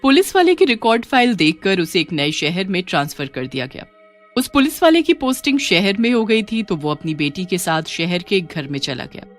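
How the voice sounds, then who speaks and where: A woman's voice: mid-range voice, sing-song, moderate pace, soft background music. A woman working as a story narrator, reading a scripted horror tale.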